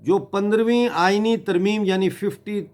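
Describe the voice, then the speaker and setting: This is a man. He delivers a political appeal in a grave, deliberate way. He breaks his sentences with emphatic pauses, as an activist addressing a government would. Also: high voice, steady tone, a little mellow, slight background noise. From Mirpur in Kashmir.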